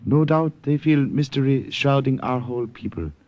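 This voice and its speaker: An actor in an old radio drama playing a courtly, enigmatic Siamese regent, speaking in measured, formal, eloquent phrases. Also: male voice, mid-range voice, darkish tone, lightly acted. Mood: proud, cryptic. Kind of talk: 1940s-50s radio drama acting. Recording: dull, lo-fi old radio recording.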